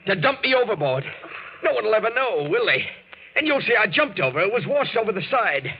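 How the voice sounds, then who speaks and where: A noisy, dull 1940s radio recording carries a bitter, paranoid man. He is agitated and sarcastic, his pitch leaping widely as he accuses. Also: big pitch swings, a little fast. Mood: bitter, suspicious. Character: paranoid, bitter married man fearing murder, hard drinker. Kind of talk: 1940s radio drama acting.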